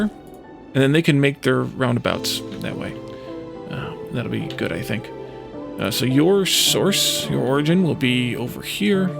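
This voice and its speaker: Creaky-voiced man musing over gameplay. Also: medium pitch. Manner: musing, tentative, talking to himself. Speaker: let's play host. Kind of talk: casual gameplay commentary, thinking aloud. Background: music behind the voice.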